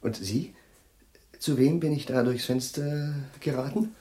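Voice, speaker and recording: Radio drama: male actor as a scatterbrained, courteous, jittery professor of medium pitch, who keeps searching for the next word. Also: warm tone, natural voice. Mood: nervous, courteous. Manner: halting, groping for words, formally polite. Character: absent-minded, jittery, courteous professor of chemistry. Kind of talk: German radio play acting.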